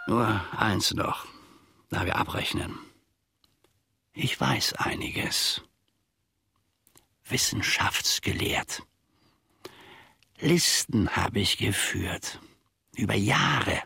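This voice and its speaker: An actor playing a grumbling, self-important old manservant in a German radio play, husky and gravelly, telling his grievances in halting, mock-formal phrases. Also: fairly low pitch, pushed and bright, no echo, clean studio sound, heavily acted. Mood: aggrieved, conspiratorial.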